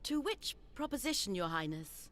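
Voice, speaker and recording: An actress playing a formal, officious council official in a fantasy video game, with ceremonious delivery. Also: female voice, medium pitch, big pitch swings, normal speed, a bit bright, slight background noise. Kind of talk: video game cutscene acting.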